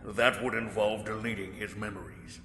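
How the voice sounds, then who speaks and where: A man's voice: lowish voice, flat delivery, moderate pace, fairly bright tone, close to an everyday voice. A man playing a businesslike council leader, formal and firm, in a sci-fi drama. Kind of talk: sci-fi audio drama acting. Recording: echoey hall, clear background ambience.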